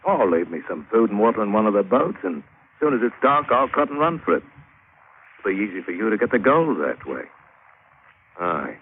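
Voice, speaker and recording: Low, lively British rogue adventurer. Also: male voice, a little fast, long pauses. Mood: crafty, bargaining. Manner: colloquial, wry storytelling with folksy grammar. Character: roguish, crafty working-class British male adventurer telling his yarn. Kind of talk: old-time radio drama narration and dialogue. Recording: tinny, hissy old radio recording.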